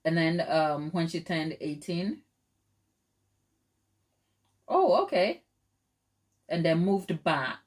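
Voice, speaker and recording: A woman's voice: on the low side, animated, takes it slowly, pauses often, a little mellow. A middle-aged woman from Ghana hosting a live stream, chatting casually with viewers, laughing often and using plenty of fillers. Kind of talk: casual chat reading viewer comments.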